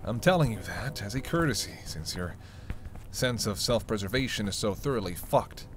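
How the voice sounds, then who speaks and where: A man's voice: fairly low pitch, lively intonation, normal speed, faint music behind, close to an everyday voice. A man playing a weary, flirtatious vampire with teasing, sarcastic banter in an intimate roleplay audio drama. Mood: tired, exasperated. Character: sardonic, flirtatious male vampire, weary and hungry. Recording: noisy, ambient sound effects behind voice.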